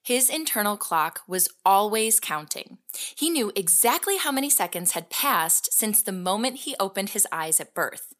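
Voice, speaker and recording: A woman playing a composed narrator who recounts a superhero case in measured, expository, scripted documentary style. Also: mid-range voice, very animated, fairly bright tone. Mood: composed, explanatory.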